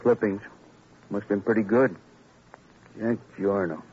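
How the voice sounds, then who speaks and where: A man's voice: fairly low pitch, a little slow, darkish tone, husky. An actor playing a plain-spoken, informal city policeman at a crime scene in an old radio crime drama. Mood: matter-of-fact, musing. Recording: dull, faintly noisy old radio recording.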